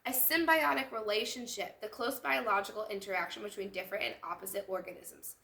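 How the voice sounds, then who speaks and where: A woman's voice: mid-range voice, fairly quick, vocal fry, pushed and bright. A woman voicing a brainy, sweet little girl inventor from a picture book, proud and precise with long scientific words. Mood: precise, explaining. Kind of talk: picture-book read-aloud character.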